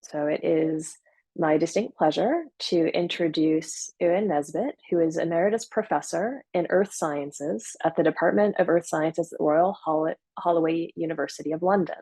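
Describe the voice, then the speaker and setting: A woman hosting a scientific webinar on a video call, speaking in a measured way with frequent fillers and self-corrections. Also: low-pitched, warm tone, husky, no echo, rough recording. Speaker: webinar host and moderator. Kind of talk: formal webinar introduction. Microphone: computer mic on a video call.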